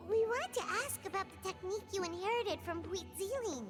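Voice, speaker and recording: A woman voicing a tiny childlike female fairy companion in a video game, squeaky, cutesy and earnest. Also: animated, brisk, loud music behind the voice.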